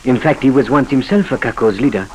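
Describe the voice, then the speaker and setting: A man's voice: medium pitch, quick pace, darkish tone, lightly acted, low-quality recording. A man acts out a suave, cynical Haitian doctor with a French accent. His voice sits in the middle register, and he speaks formally and courteously in the manner of 1940s radio drama. The old broadcast recording has faint noise. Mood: sardonic, suave. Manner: formal, suave, punctuates speech with courteous address. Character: cynical, smooth Haitian doctor educated in Paris.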